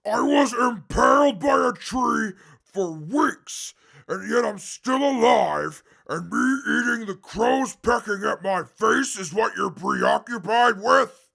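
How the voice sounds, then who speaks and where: Huge mutant brute for a radio drama: very gravelly, slow, indignant and formal. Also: male voice, sits high, animated, exaggerated voice. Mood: outraged. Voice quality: gravelly, forceful. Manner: formal, blustering, indignant speech. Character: big green indestructible mutant brute, pompous, short-tempered, hungry. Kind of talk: post-apocalyptic audio drama acting.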